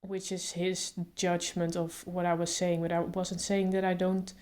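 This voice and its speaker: A woman vlogger talking to camera in a calm, reflective way, stuttering openly with blocks and repeated sounds. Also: lowish voice, measured pace. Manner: calm, reflective, thinks aloud with fillers. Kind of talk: casual talk to camera.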